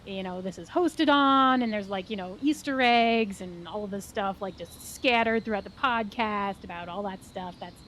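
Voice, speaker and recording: A woman playing a frazzled, enthusiastic gnome coach with a bubbly, rambling, halting delivery. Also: mid-range voice, a bit bright, a little hiss or hum, lightly acted. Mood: flustered, rambling. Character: frazzled, enthusiastic female gnome training magical girls.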